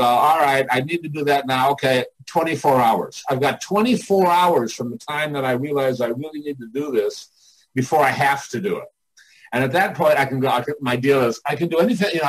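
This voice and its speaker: A man's voice: medium pitch, low-quality recording. An older man chatting casually and motivationally as a coach, candid, folksy and given to swearing. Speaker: artists' coach and mentor, podcast guest.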